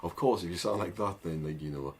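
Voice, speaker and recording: A man's voice: sits low, fairly quick, slightly rough. A young man with a thick northern English accent, giving rambling casual advice with lots of "like" and "right?". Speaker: agency owner vlogger. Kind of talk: casual advice talk to camera.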